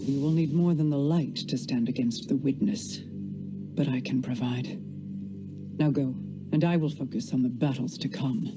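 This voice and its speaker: Regal, low-voiced queen over music. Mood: cool, commanding. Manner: formal, measured, imperious. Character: regal, aloof otherworldly queen, commanding and composed. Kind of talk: video game cutscene acting.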